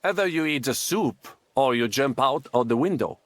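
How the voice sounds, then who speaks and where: An actor voicing a suave, patient foreign gentleman who teaches courteously in a video game. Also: medium pitch, lively intonation, moderate pace, no room sound, lightly acted. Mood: instructive. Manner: courteous, instructive, flowery.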